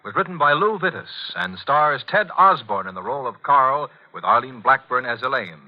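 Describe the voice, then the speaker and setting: A man's voice: medium pitch, animated, normal speed, heavy background noise, close to an everyday voice. A man announcing formally in 1940s radio style. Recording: muffled old radio.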